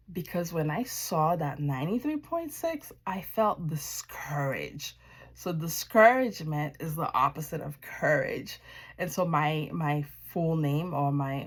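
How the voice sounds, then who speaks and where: A woman from Haiti gives a personal testimony as a wellness practitioner. Her voice sits low and moves slowly. She speaks reflectively, choosing her words with deliberate pauses. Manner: reflective, deliberate, pausing mid-sentence.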